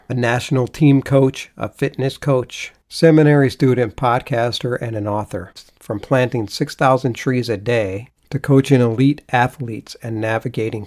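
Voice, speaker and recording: Earnest male podcast host. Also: mid-range voice. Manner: earnest, friendly, faith-centered. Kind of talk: scripted intro then casual interview.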